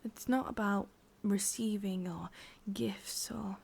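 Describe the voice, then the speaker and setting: Breathy whispering English witch, played by a woman. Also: mid-range voice, full character voice. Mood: sultry, teasing. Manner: soft, intimate whispering, teasing and unhurried. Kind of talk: whispered ASMR roleplay.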